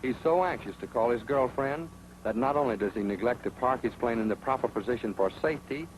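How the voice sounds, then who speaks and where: A man's voice: mid-range voice, a little fast, a little hiss or hum, poor audio. A man narrating a 1940s training film in an authoritative, sardonic manner. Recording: old 1940s film soundtrack with music.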